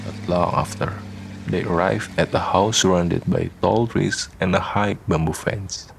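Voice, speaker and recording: A man narrating a scripted radio drama as a solemn, measured storyteller. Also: sits low, fairly even intonation, creaky, slight background noise.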